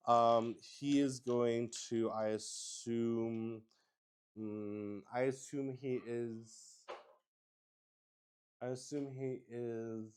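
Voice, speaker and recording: Slow-paced, clear-voiced man thinking aloud. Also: medium pitch, a little mellow. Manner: halting, thinking aloud, talking to himself. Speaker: host and player of a solo tabletop roleplaying game. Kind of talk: casual improvised play-by-play of a solo tabletop game.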